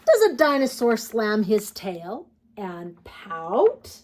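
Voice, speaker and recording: A woman hosting a children's storytime, reading picture books aloud in an expressive, sing-song way and talking directly to young listeners. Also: mid-range voice, slow, a little hiss or hum.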